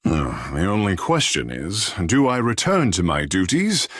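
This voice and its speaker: A man playing a vain, flirtatious, sea-blessed godlike artist in a video game, husky and theatrical, with florid, playful delivery. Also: low-pitched, big pitch swings, measured pace, a bit bright, no echo, clean studio sound, heavily acted. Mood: flirtatious, teasing. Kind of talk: video game character dialogue.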